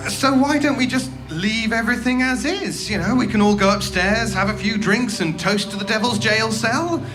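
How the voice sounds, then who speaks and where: A man plays a reluctant, anxious everyman in a horror audio drama. He stammers and pleads with wry asides. Music runs clearly underneath. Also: high voice, normal speed, lightly acted. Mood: nervous stalling. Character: nervous, wisecracking, reluctant male employee pleading for caution.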